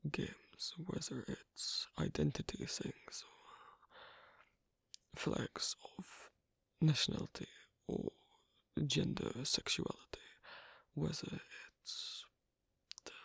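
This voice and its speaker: A performer voicing an asocial, reticent werewolf in an ASMR roleplay, speaking haltingly in a breathy, husky half-whisper. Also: no echo, exaggerated voice. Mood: gently reassuring. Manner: halting, hesitant, low-key. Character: asocial, reticent young werewolf on a sewing date.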